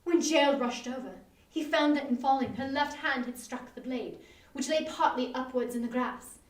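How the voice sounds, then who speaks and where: Echoey, high-voiced woman reading a dramatic story. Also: normal speed. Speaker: volunteer or staff reader. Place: echoey room, live online performance. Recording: echoey room, distant microphone, online stream.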